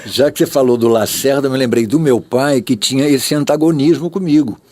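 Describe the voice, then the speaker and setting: An older Brazilian man reminiscing casually, in halting phrases dotted with small conversational tags. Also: mid-range voice, darkish tone. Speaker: interviewee, veteran of radio and theatre.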